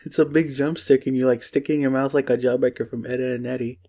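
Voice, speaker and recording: A young man co-hosting a podcast in casual comedic chat, teasing and playful, feeling his way haltingly through sentences. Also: mid-range voice, steady tone, warm tone. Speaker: podcast co-host.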